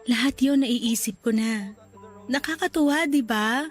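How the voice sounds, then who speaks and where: A woman playing a gravely ill young woman in a sentimental radio romance drama, loving, earnest and resigned to dying. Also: fairly high pitch, a little slow, close to an everyday voice. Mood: hopeful. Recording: music under the voice.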